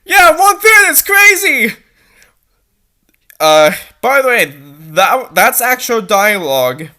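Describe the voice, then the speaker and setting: Woman reading fanfic for laughs, high voice that jumps all over, snarky and giggly. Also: sing-song, takes it slowly, bright, forceful tone. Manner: sarcastic, mocking, prone to giggling. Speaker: fanfiction reader and commentator. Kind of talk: comedic read-aloud with sarcastic asides.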